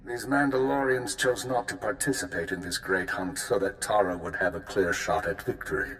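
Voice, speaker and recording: A deep-voiced old warrior, acted by a man in a video game, grave and commanding. He speaks solemnly and formally of honour, with faint music underneath. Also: moderate pace, a bit bright, close to an everyday voice, low-quality recording. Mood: stern. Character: grave old honour-bound Mandalorian warrior, stern male veteran.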